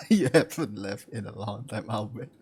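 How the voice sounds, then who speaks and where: A man's voice: fairly low pitch, expressive, unhurried, slightly rough. A man with an Indonesian accent giving casual live commentary as he plays a video game. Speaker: gaming commentator. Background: game music.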